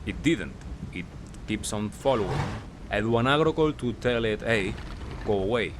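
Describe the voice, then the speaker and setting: A man giving casual gameplay commentary as a recap, talking in short clipped phrases with pauses. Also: lowish voice, expressive, background noise. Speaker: gaming video creator.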